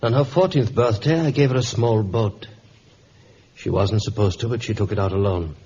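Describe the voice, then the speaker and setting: A man acting a middle-aged, bereaved father in a vintage radio drama, gentle, wistful and hesitant. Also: on the low side, normal speed, natural voice. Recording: muffled, hissy old radio recording.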